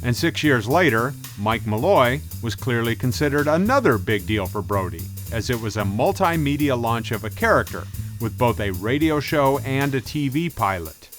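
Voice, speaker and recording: Man narrating, medium pitch, music underneath. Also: lively intonation, moderate pace. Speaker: host, film commentator. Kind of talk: scripted video-essay narration, conversational.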